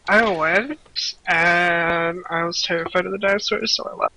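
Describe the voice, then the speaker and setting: A man's voice: high-pitched, steady tone, moderate pace, sharp tone, rough recording. A man co-hosting a podcast, chatting casually in a group voice call. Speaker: podcast co-host. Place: voice chat for a live online show.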